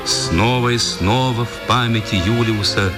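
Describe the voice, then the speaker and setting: A man's voice: lowish voice, measured pace, close to an everyday voice. An actor narrating a wartime radio drama as a solemn, grave male storyteller. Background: loud dramatic music with strings.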